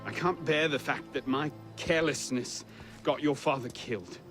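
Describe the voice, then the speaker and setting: An actor as an intense young adventurer, music behind. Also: male voice, medium pitch, normal speed, lightly acted. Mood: anguished. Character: young male adventurer, intense, guilt-ridden, dryly sardonic. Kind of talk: dramatic video game cutscene acting.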